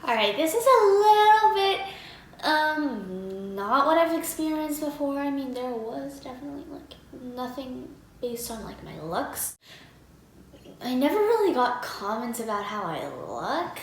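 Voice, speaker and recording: A teenage girl talks through a reaction video in casual, filler-heavy chat. Her voice sits a little high and bounces around a roomy space with a faint hum behind it. She speaks into a desk mic at home. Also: very animated, unhurried. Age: teens. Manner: chatty, frequent fillers like "like" and "um". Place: home room, indoors. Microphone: desk mic in front of her.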